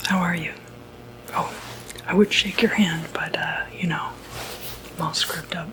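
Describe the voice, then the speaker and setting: A woman speaking in a breathy whisper, softly reassuring and hesitant, in an ASMR medical roleplay as clinic staff. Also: fairly low pitch, fairly even intonation, unhurried, poor audio. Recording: noticeable background noise, ambient sound.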